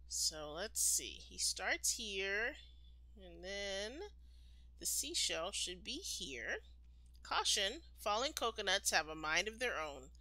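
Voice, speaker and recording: A woman reading a children's picture book aloud expressively, like a storytime teacher, with deliberate, emphatic phrasing. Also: lowish voice, sharp tone, no echo.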